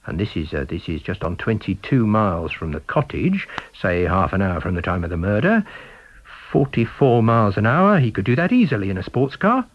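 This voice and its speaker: Man playing a rueful police inspector, husky, matter-of-fact, audiobook detective story, slightly dull sound. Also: fairly low pitch, sing-song, brisk. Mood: calculating.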